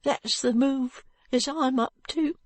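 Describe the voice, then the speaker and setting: A woman's voice: slow pace, a little mellow, no echo. A woman doing a frightened ragged street boy. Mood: pleading. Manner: high cracked crying voice, slurred street speech.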